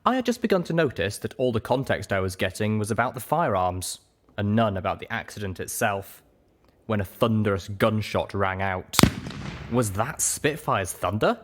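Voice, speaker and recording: A man's voice: on the low side, lively intonation, normal speed, slight background noise. A man reading scripted first-person fiction as a storyteller. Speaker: story reader.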